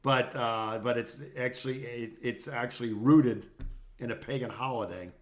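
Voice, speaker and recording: A man co-hosting a podcast in casual conversation, telling things in an animated way and often repeating himself. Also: mid-range voice, normal speed. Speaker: podcast co-host.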